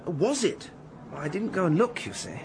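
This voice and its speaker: An actor playing a silly upper-class English gentleman in a radio drama, flustered, apologetic and rambling. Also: high-pitched, wildly swooping pitch, normal speed, gravelly, noisy background, full character voice.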